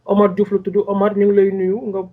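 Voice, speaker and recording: A man hosting an immigration show, introducing his guest in a formal, halting way. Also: high-pitched, monotone. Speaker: host. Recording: tinny phone-like sound.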